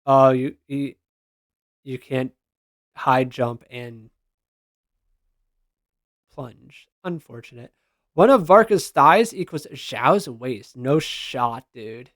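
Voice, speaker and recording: A man's voice: mid-range voice, expressive, slow pace, long pauses, a bit bright, dry recording, studio quality. A man giving casual, slangy reaction commentary as a gaming content creator, responding aloud to what he reads.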